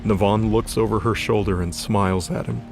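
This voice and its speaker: A male narrator with a fairly low voice delivers scripted dramatic narration. He tells the scene in the present tense, with music clearly heard beneath the reading. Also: fairly even intonation, fairly quick.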